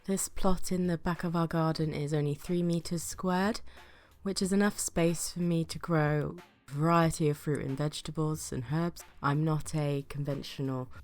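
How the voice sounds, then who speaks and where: Low-voiced woman with a flu. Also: fairly even intonation, moderate pace, a little mellow, soft background music. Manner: chatty, self-deprecating, with occasional whispered asides. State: sick with flu, breathy. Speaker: gardening vlogger. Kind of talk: casual vlog narration.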